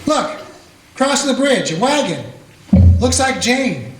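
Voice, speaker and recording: A man's voice: very high-pitched, sing-song, takes it slowly, full character voice. A man playing a plain-spoken old-west cowboy who takes charge, in a community radio play. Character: steady frontier cowboy man who leads the chase. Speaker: narrator and lead character. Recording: echoey room with guitar music.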